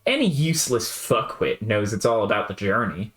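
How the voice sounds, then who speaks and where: A condescending artificial intelligence, put on by a man, speaking in a low Southern US drawl. He lectures in long, wordy sentences with smug calm, like a storybook machine that thinks it knows best. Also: moderate pace. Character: condescending male AI program.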